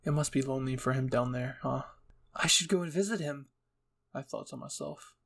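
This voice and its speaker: A man's voice: medium pitch, a little fast, creaky, a bit bright, slightly rough. A man reading scripted fan-fiction narration as a storyteller and channel host.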